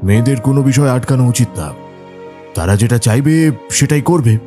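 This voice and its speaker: Dark-toned, firm man, played by an actor. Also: medium pitch, lively intonation, moderate pace, soft background music, natural voice. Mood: indulgent. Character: controlling men quoted in a woman's recollection, admonishing. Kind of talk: dramatised audio story acting.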